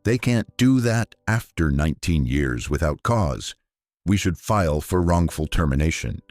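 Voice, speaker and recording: A man's voice: sits low, lively intonation, moderate pace, darkish tone, no room sound, studio quality. A man voicing a protective adult daughter, a lawyer who speaks directly and indignantly, in a narrated story. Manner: assertive, direct.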